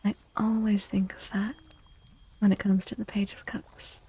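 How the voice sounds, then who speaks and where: A soft-spoken woman livestreaming an ASMR tarot reading, gentle and soothing, with a breathy voice that often slips into a whisper. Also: medium pitch, little pitch movement. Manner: gentle, soothing, unhurried reassurance.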